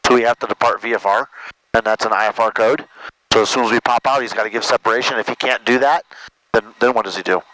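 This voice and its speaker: Brisk, bright-voiced American flight instructor, male. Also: medium pitch, steady tone, no room sound, low-quality recording. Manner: informal, matey. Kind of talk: casual cockpit instruction and radio calls. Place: cockpit of a small plane. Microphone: aviation headset. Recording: cockpit intercom with faint engine noise.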